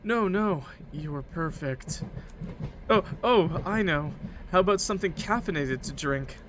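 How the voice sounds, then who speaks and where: Nervous young male attendant acted by a man, apologetic and helpful, raised pitch, faint noise behind. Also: expressive, measured pace. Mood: eager, kind. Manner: hesitant, apologetic, soft-hearted. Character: shy, nervous, eager-to-please young male train attendant.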